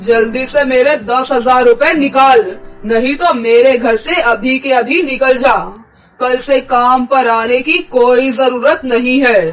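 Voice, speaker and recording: A man playing an angry, stingy rich employer in an animated story, scolding and demanding in an imperious way. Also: very high voice, takes it slowly, distant mic, soft background music, heavily acted, rough recording. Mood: furious. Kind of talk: Hindi animated moral story acting.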